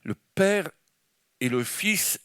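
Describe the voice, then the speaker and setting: A man's voice: high-pitched, animated, drawn-out words, a bit bright, smooth, no room sound, studio quality. A man from France with a French accent, preaching an enthusiastic, rambling charismatic sermon in church with many restarts. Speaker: guest preacher.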